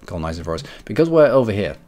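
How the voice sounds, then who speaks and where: A man with a head cold streaming a strategy game, rambling and correcting himself, often clearing his throat. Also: lowish voice, big pitch swings, racing, mellow. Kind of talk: casual game commentary.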